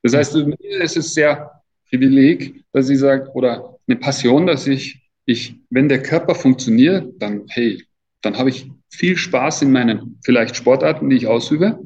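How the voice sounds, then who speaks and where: A man's voice: mid-range voice, unhurried, darkish tone. A man in his fifties speaking as a trainer being interviewed, in a casual, colloquial and emphatic way. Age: 50s. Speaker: athletic trainer and interview guest. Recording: dull, lo-fi audio.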